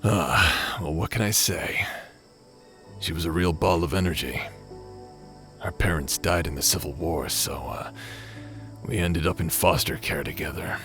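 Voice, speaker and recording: A man performs a remorseful former space pirate in a sci-fi audio drama. The voice is very low and slow, halting between phrases and weighed down with grief. Music plays softly underneath. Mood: grieving, wistful. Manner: halting, heavy with pauses. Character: grief-stricken, guilt-ridden male ex space pirate brother. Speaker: voice actor in an audio roleplay.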